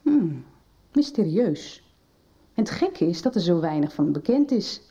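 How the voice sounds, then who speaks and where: Mellow voice, frank schoolteacher, measured advice, radio soap, somewhat distant and dull recording. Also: female voice, lowish voice, very animated. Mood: frank, thoughtful. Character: mild, frank woman school teacher advising a pupil on books. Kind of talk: Dutch radio soap acting.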